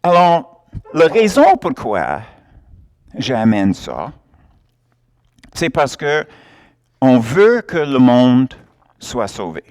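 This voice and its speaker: Very slow, lively preacher. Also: male voice, mid-range voice. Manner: emphatic, plays out little dialogues, laughs often. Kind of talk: sermon.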